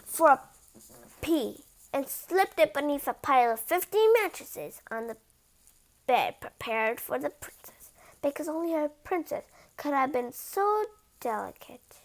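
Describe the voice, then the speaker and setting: Woman, storybook read-aloud host. High voice, very lively, unhurried, casual asides. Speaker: host reading a picture book aloud.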